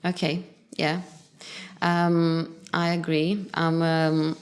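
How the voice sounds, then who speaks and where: A woman hosting an interview, a native Portuguese speaker who pauses often with ums while finding her words. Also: deep voice, level tone. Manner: hesitant, many ums while searching for words. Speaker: interview host. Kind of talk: video call interview.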